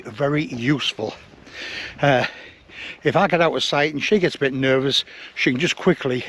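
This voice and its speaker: A man in his fifties giving a casual, plain-spoken product review to the camera, explaining things step by step. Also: mid-range voice. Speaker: outdoor gear reviewer. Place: forest trail outdoors, and small room indoors.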